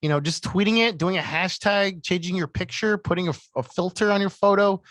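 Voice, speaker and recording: High-voiced, giggly podcast co-host man. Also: brisk, a bit bright, no echo. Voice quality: high-pitched voice. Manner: laughs a lot, rambling, self-deprecating. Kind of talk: casual chat. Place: radio studio. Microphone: studio mic.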